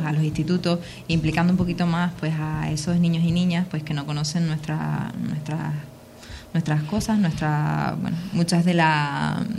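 A woman's voice: sits low, a little mellow, a little hiss or hum. A woman of thirty-one with a Canarian Spanish accent, answering informally in a radio interview, often repeating words as she searches for phrasing. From Lanzarote, Canary Islands, Spain. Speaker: local politician, youth councillor being interviewed.

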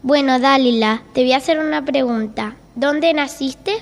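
A woman's voice: sits high, moderate pace, creaky, long reverb tail. A woman interviewing guests with short, simple, scripted questions. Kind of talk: school radio interview.

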